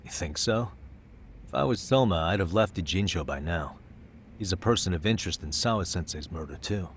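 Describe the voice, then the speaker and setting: A man's voice: sits low, lively intonation, fairly quick, slight background noise. An actor playing a cool, level-headed investigator in his thirties, speaking matter-of-factly in an English game dub. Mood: composed. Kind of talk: English dub video game drama acting.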